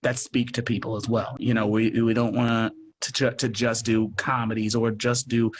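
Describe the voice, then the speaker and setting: A man's voice: mid-range voice, flat delivery, moderate pace. A man talking casually as an interview guest, earnest and effusive, often circling back over his phrases on a live call. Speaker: guest, radio play producer. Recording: noisy livestream call.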